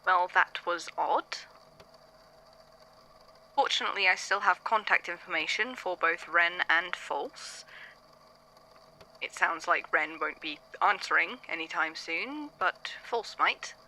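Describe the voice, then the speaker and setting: A woman playing a curious archivist who talks in a measured, musing way in a fan audio drama. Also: fairly low pitch, pushed and bright, slight background noise, full character voice, poor audio.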